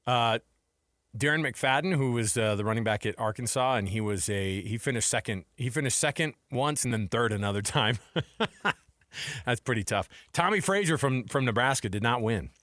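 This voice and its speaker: A man's voice: mid-range voice, lively intonation, moderate pace, a bit bright, no echo. Male American radio host, casual sports banter, conversational phrasing, mid-range voice, very dry close sound. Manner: chatty, colloquial. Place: radio studio. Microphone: close studio microphone.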